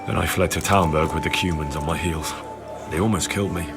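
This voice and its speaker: Young medieval investigator, deep voice, plain-spoken, insistent, earnest, game cutscene, music behind. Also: male voice, fairly even intonation, quick pace, creaky. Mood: grieving. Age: young adult. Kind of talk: video game cutscene acting.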